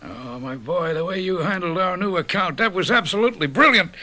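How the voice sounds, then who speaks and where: A man's voice: sits high, lively intonation, a little fast, bright, forceful tone. An actor in a radio drama playing a hearty older company boss who chuckles as he talks. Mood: jovial.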